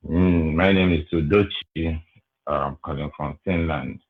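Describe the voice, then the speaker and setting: Nigerian man calling in, low voice, slow and halting, lots of ums, phone-line tinny. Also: fairly even intonation. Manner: slow, hesitant, many fillers. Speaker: caller. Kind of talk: call-in greetings and comment.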